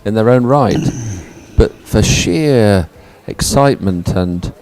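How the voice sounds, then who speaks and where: A man's voice: lowish voice, lively intonation, slow pace, a little mellow. A man co-hosting live race commentary and reading out results, talking in an enthusiastic, wordy way. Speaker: sports commentator, co-host.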